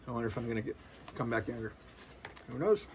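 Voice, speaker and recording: A man's voice: mid-range voice, animated, fast, slight background noise. A man in his forties giving a loose, earnest spiritual monologue, casual and full of slang. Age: 40s. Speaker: spiritual vlogger.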